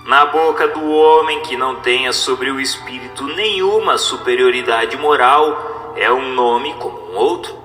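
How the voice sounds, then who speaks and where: A man with a Brazilian accent reads a religious text aloud as a narrator, in a formal, measured, didactic manner over music. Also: mid-range voice, bright, forceful tone. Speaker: narrator reading a book aloud. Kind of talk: scripted audiobook-style narration of a religious text.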